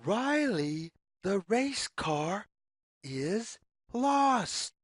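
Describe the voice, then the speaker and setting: Female children's storyteller: very slow, teacherly delivery, animated pitch swings, dry close sound. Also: dry recording. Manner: very slow, deliberate, teacherly phrasing with questions to the listener. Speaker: read-aloud storyteller for young children. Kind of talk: children's story read-aloud, scripted narration.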